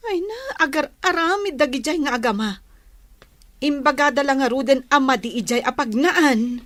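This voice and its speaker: A fretful, disapproving Ilocano village mother from a comedy radio play, played by a woman. Her voice is high and sharp, captured close and dry. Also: normal speed, no room sound. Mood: fretful, exasperated.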